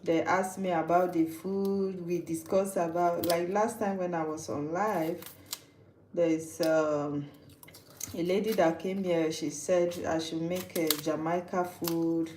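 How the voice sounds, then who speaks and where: Slow-talking Nigerian woman in an echoey kitchen. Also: lowish voice, steady tone, a little mellow. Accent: Nigerian English. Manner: chatty and teasing, with frequent restarts. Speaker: live-stream cooking host. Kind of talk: casual live-stream chat, reading out viewer comments. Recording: echoey room with background noise.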